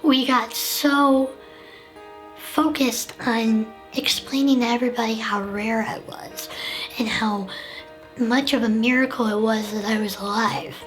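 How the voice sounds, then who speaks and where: A woman's voice: a little high, steady tone, unhurried, a bit bright, soft background music, low-quality recording. A young woman hosting a personal documentary, narrating and interviewing in an earnest, candid way. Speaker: documentary host with the condition.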